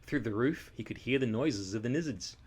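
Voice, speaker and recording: A man reading a children's story aloud in a dramatic, expressive and deliberate narration style. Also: mid-range voice, slightly rough. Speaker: storybook reader.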